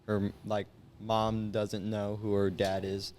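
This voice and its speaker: A young man in his late teens with a slightly low voice talks casually as a guest. He explains things loosely and informally. There is faint noise behind him. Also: completely flat delivery, slow, a little mellow. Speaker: high school senior guest. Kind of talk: casual chat.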